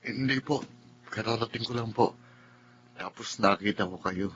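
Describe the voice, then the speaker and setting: An actor playing a polite, reserved schoolboy son in a Filipino radio drama. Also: male voice, on the low side, moderate pace, a bit bright, lightly acted. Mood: modest. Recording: muffled radio-drama audio, faint noise.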